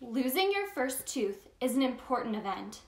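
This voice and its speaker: Woman playing a high, bubbly Tooth Fairy. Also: big pitch swings, moderate pace, echoey room. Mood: playful, wondering. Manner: exaggerated, sing-song, talks directly to the child listener. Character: cheerful, bubbly Tooth Fairy telling stories to children. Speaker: children's story time host. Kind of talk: animated read-aloud for young children.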